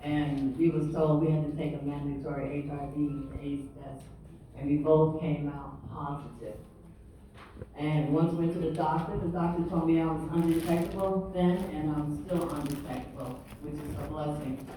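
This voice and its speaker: Mellow woman in her seventies, echoey room. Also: low-pitched, steady tone, normal speed. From Long Island, New York, USA. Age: 70s. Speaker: panelist. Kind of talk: personal remarks on a panel. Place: echoing room with an audience. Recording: echoey hall, distant mic, faint noise.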